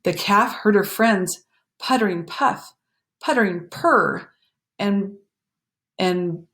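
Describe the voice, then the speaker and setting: A woman storytime reader with a medium-pitched voice reads a picture book to children. She is expressive and explanatory, pausing for emphasis and sometimes softening to a hush. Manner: animated, explanatory, pausing for emphasis and dropping to hushed phrases. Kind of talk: expressive picture-book read-aloud with asides to young listeners.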